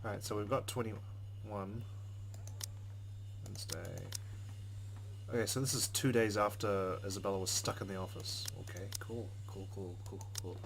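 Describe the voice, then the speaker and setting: A man's voice: lowish voice, steady tone, moderate pace, creaky, long pauses, slight background noise, low-quality recording. A man reading a visual novel aloud as a let's player, slipping between narration and casual commentary.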